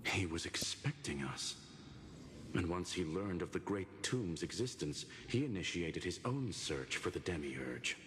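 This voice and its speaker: Deep, clinical analytical intelligence. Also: male voice, lively intonation, noisy background. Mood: grave, measured. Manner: formal, measured, clinical. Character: calm, analytical male machine-like intelligence, formal and precise. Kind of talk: acted video game dialogue. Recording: long reverb, music behind the voice.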